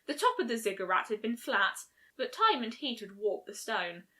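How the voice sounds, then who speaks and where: A woman narrates a piece of fiction from a script. Her voice is bright and fairly high, with animated, varied intonation. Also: moderate pace. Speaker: fan fiction reader.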